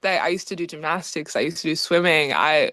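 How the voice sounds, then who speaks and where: A woman's voice: low-pitched, brisk, a bit bright, no echo. Chatty seventeen-year-old girl, dry sound. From Australia. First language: Hungarian. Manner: upbeat, chatty, lots of "like" and "oh my goodness", laughs often. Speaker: basketball player, interview guest. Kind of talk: casual interview answers.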